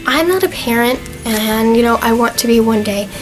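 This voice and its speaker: A young woman in her twenties with a slightly hoarse, fading voice, talking to camera in a chatty, earnest way with plenty of fillers. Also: fairly high pitch, lively intonation, normal speed, soft background music, low-quality recording. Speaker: vlogger. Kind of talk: casual talk to camera.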